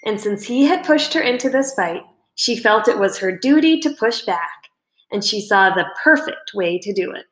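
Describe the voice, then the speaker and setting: A woman reading a children's book aloud as a storyteller, in expressive scripted narration. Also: medium pitch, moderate pace. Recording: roomy indoor echo.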